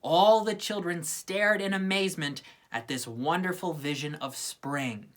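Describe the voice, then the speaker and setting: A woman's voice: on the low side, a little slow. A woman reading a children's story aloud with plenty of expression. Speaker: storybook reader.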